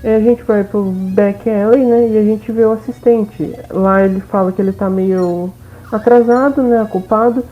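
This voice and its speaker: A woman's voice: medium pitch, steady tone, normal speed, faint music behind. A woman with a Brazilian accent giving a casual, informal let's play recap as voice-over, often checking in with listeners. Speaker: gaming video host. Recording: dull lo-fi voice-over.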